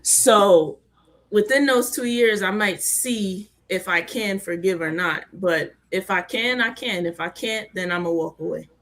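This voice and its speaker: A woman in a casual discussion, reasoning aloud in a firm, measured way. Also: mid-range voice, fairly bright tone.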